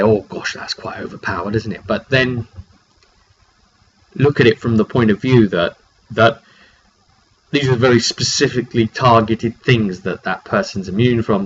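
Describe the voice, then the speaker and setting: A man's voice: fairly low pitch, a bit bright. Man with a cold, dull noisy recording. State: has a cold, frequent throat clearing. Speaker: game master recapping his tabletop roleplay sessions. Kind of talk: casual recap storytelling to camera. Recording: dull lo-fi makeshift mic, clearly noisy.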